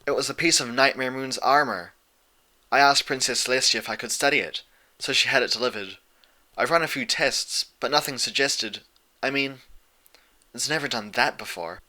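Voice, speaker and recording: An anxious young female pony scholar, as a male narrator acts her out in a fan storybook. The voice is sharp and bright, careful and a little hesitant. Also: mid-range voice, normal speed. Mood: explaining nervously. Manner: precise, hesitant, apologetic. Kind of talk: fan fiction audiobook character voice.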